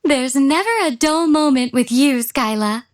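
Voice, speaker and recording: Young female game trainer, high voice, spirited, short scripted battle lines. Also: unhurried. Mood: poised, elegant.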